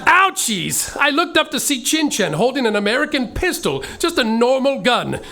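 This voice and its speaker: A man's voice: very high voice, very animated, normal speed, sharp tone, exaggerated voice. An actor playing a cocky, goofy space detective, narrating his adventure theatrically as a noir parody in a live musical comedy. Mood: wry, breezy. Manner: theatrical, jokey storytelling. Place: live stage in a small theater.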